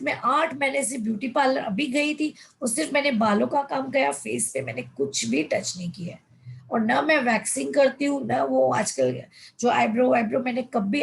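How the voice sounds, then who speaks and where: Gravelly-voiced woman coaching in her fifties. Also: on the high side. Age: 50s. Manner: addresses listeners as friends, mixes English words; reads passages aloud, then explains them. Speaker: life coach. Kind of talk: motivational online session in Hindi.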